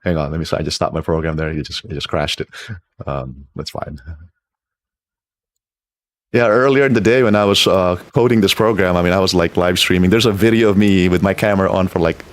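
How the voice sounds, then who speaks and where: A man's voice: low-pitched, fairly quick, no echo. A middle-aged man from the Philippines with an American accent, chatting casually on a game live stream and laughing often. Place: home computer setup. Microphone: computer mic, camera off.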